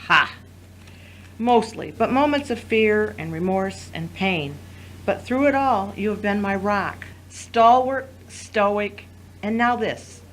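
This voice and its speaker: A woman acting in an audio drama as a wounded but resolute wife, speaking in a solemn, deliberate, commanding way. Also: medium pitch, a little hiss or hum, lightly acted, poor audio.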